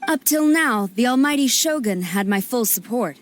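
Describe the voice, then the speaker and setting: An actress playing a stern, formal female general in a game cutscene, speaking in a clipped, measured way. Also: a little high, expressive, natural voice.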